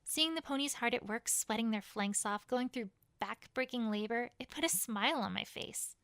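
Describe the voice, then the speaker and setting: A woman's voice: fairly high pitch, normal speed, fairly bright tone, no room sound. A woman reading a first-person fantasy story as a dramatic narration. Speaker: fan fiction reader.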